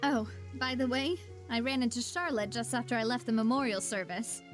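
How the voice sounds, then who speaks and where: A woman playing a poised, spirited young lady in an anime game, speaking in a warm, candid, reflective way. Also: a little high, fairly quick, lightly acted. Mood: friendly, confiding. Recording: faint music behind the voice.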